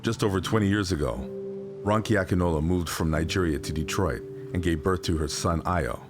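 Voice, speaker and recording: A man's voice: deep voice, normal speed, over music. A man reading scripted documentary narration for a sports feature.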